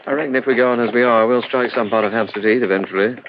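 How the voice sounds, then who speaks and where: A dull, noisy vintage radio recording of an actor as a dutiful policeman. He talks fast, brisk and respectful. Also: mid-range voice, fairly even intonation, lightly acted. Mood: businesslike. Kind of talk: old-time radio drama acting.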